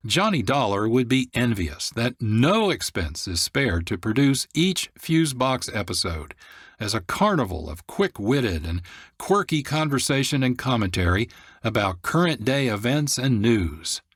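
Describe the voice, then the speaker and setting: A man's voice: mid-range voice, big pitch swings, measured pace, slightly rough, no room sound, clean studio sound. A man presenting a radio show as host from a script. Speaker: producer and host. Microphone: close, dry studio microphone.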